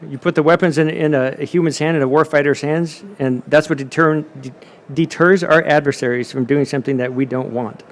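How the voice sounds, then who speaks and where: A man with a slightly higher voice moderates a conference panel. He speaks emphatically, restating words as he builds toward each point. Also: fairly even intonation, fairly quick.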